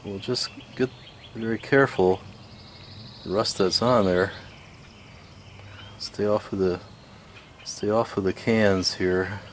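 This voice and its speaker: Clear background noise sits behind a man with a fairly low voice. He talks casually through hands-on restoration work. His delivery is unhurried and hesitant, as if thinking out loud. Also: steady tone. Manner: unhurried, hesitant, musing aloud. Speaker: radio restoration hobbyist.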